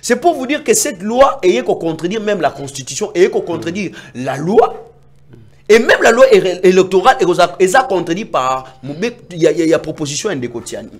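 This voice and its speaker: A man arguing about politics in a heated debate, emphatic and sometimes nearly shouting. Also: high-pitched, very animated, brisk. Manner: emphatic, rising to near-shouts when making points. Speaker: political commentator.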